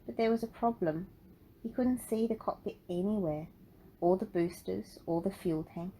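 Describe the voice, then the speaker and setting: A woman narrating a children's storybook in an expressive, deliberate way. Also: medium pitch, a little hiss or hum, poor audio. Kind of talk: storybook read-aloud for children.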